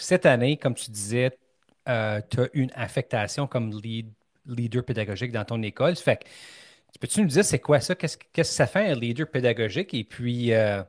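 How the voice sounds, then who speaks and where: Voix d'homme au timbre moyen, ontarien, animateur jovial et causant. Enregistrement sec, sans écho de pièce, qui donne une présence proche et directe. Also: male voice, medium pitch, no room sound, clean studio sound. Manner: chatty, upbeat, many fillers and restarts. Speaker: podcast host. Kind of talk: casual interview chat.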